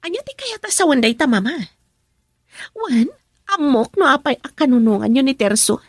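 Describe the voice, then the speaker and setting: A woman's voice: sits high, animated, moderate pace, husky, no echo, clean studio sound, full character voice. A woman playing a resentful married daughter, emotional and complaining, in a Filipino radio drama in Ilocano. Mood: indignant. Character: resentful married daughter arguing with her mother.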